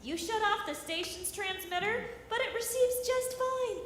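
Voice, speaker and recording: Radio drama heroine, actress: composed, shrewd, dryly pointed, high voice, long hall reverb. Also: female voice, moderate pace, fairly bright tone. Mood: firm. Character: shrewd, composed young heroine, a secret heiress. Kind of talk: staged radio drama performance. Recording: echoey live hall, faint noise.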